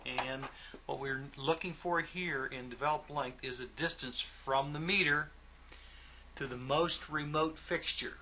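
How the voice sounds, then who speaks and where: A man's voice: on the high side, bright, forceful tone, slight background noise, low-quality recording. Methodical man teaching step by step. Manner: patient, methodical, talks through calculations step by step. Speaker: plumbing instructor. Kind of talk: instructional walkthrough.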